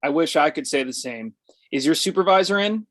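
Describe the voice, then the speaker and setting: A man's voice: fairly high pitch, lively intonation, a little fast, fairly bright tone, no room sound, clean studio sound. A man playing a mad, self-important news editor in his forties, speaking formally and pompously in a script read. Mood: stern, cold. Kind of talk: screenplay table read.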